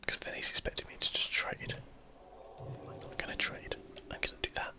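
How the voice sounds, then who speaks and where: Man, southern English accent, unvoiced whisper, sharp airy sound, ASMR game commentary, casual, musing aloud. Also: moderate pace. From southern England, UK. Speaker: host of an ASMR gaming channel.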